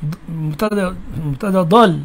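A man's voice: high voice, normal speed. A man with an Egyptian accent hosting a live call-in religious question session, answering callers bluntly and questioning them back.

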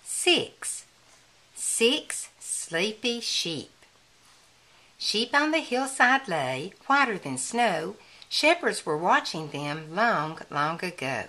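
A woman reads a children's picture book aloud at a very slow, deliberate pace. Her medium-pitched voice moves in lively rises and falls, spelling things out clearly for young children to follow along.

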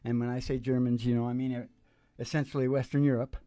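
A man's voice: medium pitch, little pitch movement, fast talker, warm tone, smooth, no echo. An older man hosting from a stage, chatting casually in a colloquial, emphatic, rambling and opinionated way into a vocal microphone. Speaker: musician and show host. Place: small indoor stage. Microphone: close stage vocal microphone.

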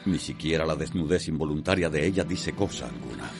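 A man's voice: extremely low pitch, lively intonation, fairly quick, creaky, over music, heavily acted. A man delivering solemn, poetic scripted narration for a radio soap opera.

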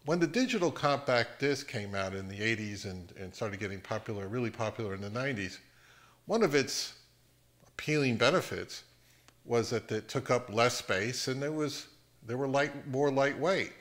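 A middle-aged man with a mid-pitched voice talks casually as a channel host. His delivery is measured and halting as he explains things at home. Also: a bit bright. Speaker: host of an audio hobby channel. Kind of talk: casual explainer to camera with some narration. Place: home living room.

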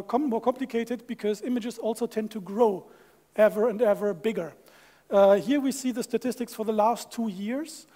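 Fast-talking German man, high voice. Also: warm tone. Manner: friendly, joking, fast-talking with fillers. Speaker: conference speaker. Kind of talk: tech conference talk. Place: large auditorium stage.

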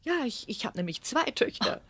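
An actress playing a gentle, anxious young mother in a radio play, earnest and hesitant. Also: fairly high pitch, very animated, moderate pace, slightly rough, no room sound, heavily acted. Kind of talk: German radio play acting.

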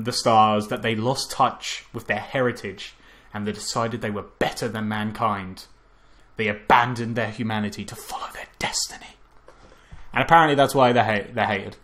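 A man's voice: medium pitch, a little slow. A man co-hosting a casual film review podcast, rambling and profane, often stumbling and restarting his sentences. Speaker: podcast co-host.

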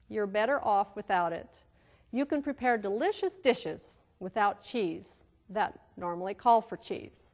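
A middle-aged woman hosting a cooking show, explaining health facts about food to the camera in a measured, informative way, with now and then a filler, on a studio kitchen set with a lapel mic. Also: a little high. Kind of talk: scripted health lesson to camera.

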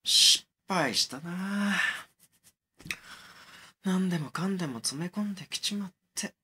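A man's voice: sits high, a little slow, pushed and bright, slightly rough, exaggerated voice. A man playing an easygoing young man in an audio drama, talking in a blunt, colloquial, muttering way. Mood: musing. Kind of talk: naturalistic audio drama dialogue.

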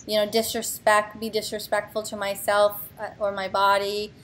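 A woman in her thirties, an artist talking through her own work on a video call, thoughtful and halting, with many fillers and restarts. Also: medium pitch, monotone, fairly bright tone, a little hiss or hum. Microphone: video call webcam.

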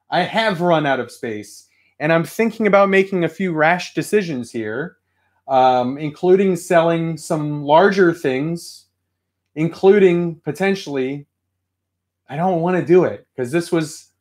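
A man in his thirties, getting over a cold, chatting casually to a live stream chat from a toy-filled room at home. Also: fairly high pitch. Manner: rambling, chatty, reads chat aloud. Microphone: webcam at desk.

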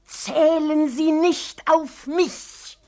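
Slow-speaking, stern housemaid. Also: female voice, high voice, steady tone, warm tone. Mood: ominous. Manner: admonishing, ominous, deliberate. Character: stern, long-suffering housemaid who scolds her master. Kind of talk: 1950s radio play acting.

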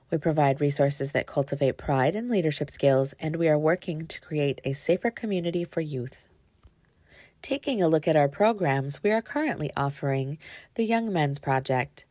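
A woman's voice: deep voice, darkish tone, no room sound, clean studio sound. A woman, a youth development specialist, reading a scripted presentation over slides on a webcam.

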